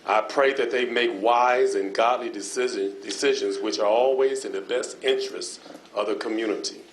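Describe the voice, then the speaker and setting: Solemn pastor praying in a roomy chamber. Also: male voice, medium pitch, steady tone, slight background noise. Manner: measured, solemn cadence with repeated phrases. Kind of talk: spoken prayer. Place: council chamber.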